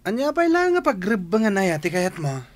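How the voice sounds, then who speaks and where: An actor playing a brash, defiant grown son in a radio drama. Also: male voice, sits high, very animated, brisk.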